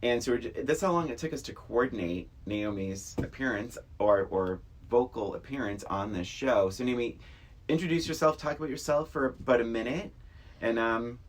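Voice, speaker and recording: A man's voice: medium pitch, moderate pace, slight background noise. A middle-aged man from Massachusetts co-hosting a podcast in casual, teasing banter. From Massachusetts, USA. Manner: teasing, playful. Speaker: podcast co-host.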